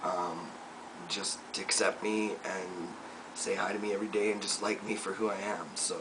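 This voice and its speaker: A young man speaks in a very deep, low voice, reflective and soft, with frequent pauses and fillers as he records a personal video update. He sits a little away from the microphone. A clear layer of room noise runs underneath. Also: level tone. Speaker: vlogger. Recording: distant mic with noticeable room noise.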